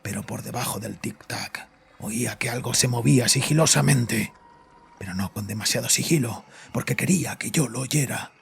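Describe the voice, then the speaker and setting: An actor playing a guilt-ridden, frightened father confessing to his psychiatrist in a horror audio drama, agitated and halting. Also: medium pitch, creaky, slight background noise. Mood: haunted fear. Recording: voice over background music.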